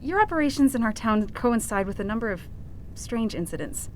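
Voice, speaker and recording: Casting: woman as earnest good-cop reporter, slightly high voice, easily flustered, animated, for audio drama. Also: fairly quick, slight background noise. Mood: probing. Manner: earnest, hesitant, exasperated. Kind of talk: audio fiction podcast acting.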